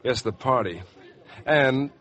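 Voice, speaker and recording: A man's voice: mid-range voice, slow pace, fairly bright tone. An actor playing a tense, evasive young man in 1950s American radio drama, curt and guarded. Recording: old radio broadcast, faint music.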